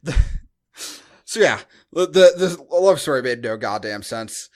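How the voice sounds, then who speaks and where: Animated, sarcastic man on a podcast. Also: medium pitch, measured pace. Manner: sarcastic, mocking, sweary. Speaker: podcast co-host. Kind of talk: casual film discussion chat.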